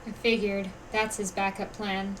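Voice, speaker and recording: A woman voicing a calm, wary traveler giving advice in a video game. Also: high-pitched, unhurried, slight background noise, poor audio.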